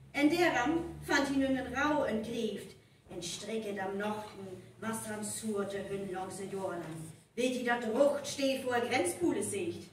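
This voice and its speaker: A woman with a North Frisian accent playing a deliberate, explanatory young folklore researcher in a live radio play. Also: on the high side. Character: young female university researcher of local folk legends. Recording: echoey live stage recording, distant, faint noise.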